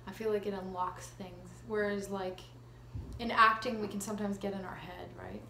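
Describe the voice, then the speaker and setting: A woman's voice: medium pitch, flat delivery, normal speed, vocal fry, sharp tone. A woman in her thirties with a Canadian accent, chatting casually as an interview guest in a self-deprecating, hesitant way. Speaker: actress being interviewed. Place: living room at home. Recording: echoey room with ambient noise.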